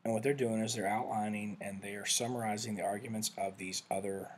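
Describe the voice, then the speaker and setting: Rambling low-voiced man reviewing software. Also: steady tone, fairly quick, slight background noise. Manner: rambling, self-correcting, conversational. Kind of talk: casual screen-share walkthrough.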